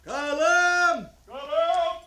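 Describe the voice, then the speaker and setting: A man playing a cavalry officer in a 1950s radio western, bellowing drawn-out military commands. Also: extremely high pitch, bright, forceful tone, full character voice. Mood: commanding. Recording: echoing, noisy vintage radio recording.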